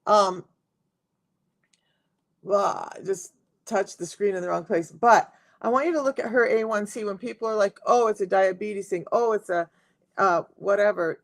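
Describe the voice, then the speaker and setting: A middle-aged woman with a raspy voice, chatting casually to viewers on a live stream as a health coach. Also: mid-range voice. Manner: chatty, talks straight to viewers.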